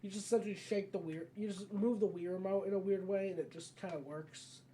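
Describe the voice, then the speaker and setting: A casual let's play commentator with a soft, mellow tone. The talk is conversational and tends to ramble along. It is a home gameplay recording with faint noise behind the voice. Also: mid-range voice, fairly even intonation, fairly quick.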